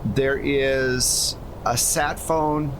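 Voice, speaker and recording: Guy gm-ing a tabletop game, chatty explainer, noticeable hum and rumble behind him. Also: steady tone, drawn-out words. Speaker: game master. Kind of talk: casual tabletop game narration.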